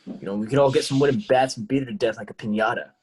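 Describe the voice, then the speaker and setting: A man reading a heartbroken, brooding teenage boy who speaks in a sullen deadpan. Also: mid-range voice, racing, breathy edge, natural voice, rough recording. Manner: flat, sullen, dark deadpan. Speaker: actor in a table reading.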